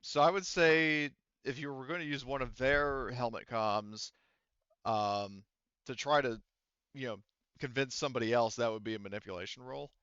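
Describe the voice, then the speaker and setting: A man running a tabletop roleplaying game as game master, narrating and refereeing in a halting way with frequent restarts. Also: mid-range voice, a bit bright, clear, resonant tone, dry recording, highs cut off. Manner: halting, hesitant, often trailing off and restarting.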